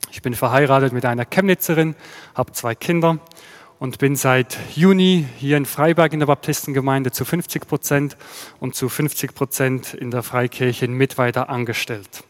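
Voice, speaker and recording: A man with a Swiss German accent, preaching a sermon in a measured, explanatory way and posing rhetorical questions. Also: medium pitch, in a large hall. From Switzerland. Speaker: pastor. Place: church.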